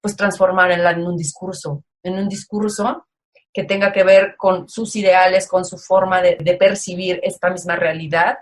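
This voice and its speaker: A woman's voice: fairly low pitch, little pitch movement, moderate pace. Chatty Mexican woman filmmaker. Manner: chatty, many fillers and restarts. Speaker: guest documentary filmmaker. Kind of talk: casual interview talk.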